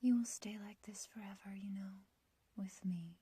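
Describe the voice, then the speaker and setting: A woman's voice: medium pitch, fairly even intonation, normal speed, dark tone. A woman playing an ancient but youthful witch in a whispered ASMR roleplay, obsessively loving and possessive, with archaic, intimate phrasing. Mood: adoring, possessive. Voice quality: breathy, whispery.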